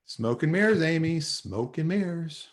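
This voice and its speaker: A man's voice: fairly high pitch, lively intonation, a little slow. A man playing a lovesick, wisecracking, anxiously hopeful sitcom character in a table read over a video call. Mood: urgent.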